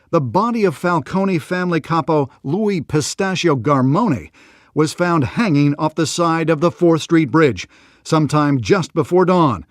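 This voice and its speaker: A television news anchor in a video game. The voice is on the high side, very bright and forceful, with polished, emphatic phrasing. It sounds close and dry. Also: male voice, no echo. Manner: crisp, emphatic announcer delivery. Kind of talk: broadcast news reading.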